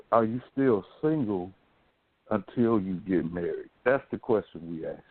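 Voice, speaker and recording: American guy hosting radio, kinda low voice, laid out casual and slangy, thin band-limited sound. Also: dry recording, phone-quality sound. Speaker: radio show host. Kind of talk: casual talk radio chat.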